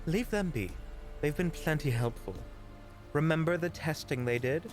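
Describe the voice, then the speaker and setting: A man's voice: on the high side, normal speed, soft background music, natural voice. A man playing a caring, level-headed doctor in an audio drama, concerned and reassuring. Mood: puzzled, earnest. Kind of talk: dramatic audio roleplay acting.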